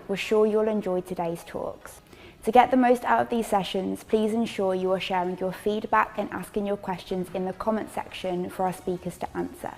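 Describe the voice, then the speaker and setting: A woman host reads a scripted welcome in a mid-pitched voice. Soft music runs underneath. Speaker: event host.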